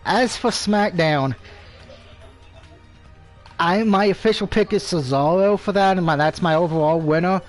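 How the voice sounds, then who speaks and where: A man's voice: high-pitched, measured pace, pauses often, soft background music. A man casually commentating on a live game stream, rambling and swearing, with opinions on everything and a speech impediment.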